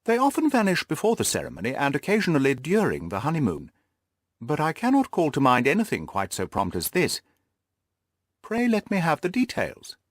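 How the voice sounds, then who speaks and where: A man voicing a keen, brisk, amused gentleman detective in an audiobook, with a crisp, inquisitive manner. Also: a little high, huge pitch swings, no echo, clean studio sound, voice pushed up. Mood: curious, intrigued. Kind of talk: audiobook character voice.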